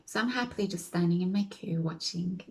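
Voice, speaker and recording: A woman talks casually to her viewers in a mellow, darker voice that sits a little low. She rambles and corrects herself as she goes, often sinking into a near-whisper. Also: fairly quick. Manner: chatty, self-correcting, often drops to a whisper. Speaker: vlogger.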